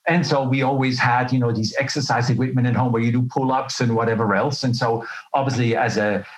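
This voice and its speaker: A man's voice: medium pitch, steady tone, a little fast, low-quality recording. A middle-aged man from Switzerland telling his own story as an interview guest, candid, colloquial and self-deprecating. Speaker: interview guest, health professional. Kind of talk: conversational personal storytelling.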